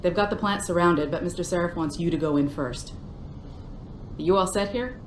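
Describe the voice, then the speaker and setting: Brisk, friendly aircraft pilot for a game, played by a woman; lower register, confident, roomy sound. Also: slight background noise, close to an everyday voice. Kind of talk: video game cutscene acting. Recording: roomy echo, faint game ambience.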